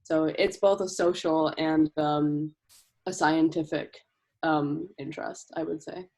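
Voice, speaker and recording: Brief: woman from Brazil, panel guest, somewhat low, dark and mellow voice, thoughtful. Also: steady tone, a little slow. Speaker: panel speaker. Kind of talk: casual talk.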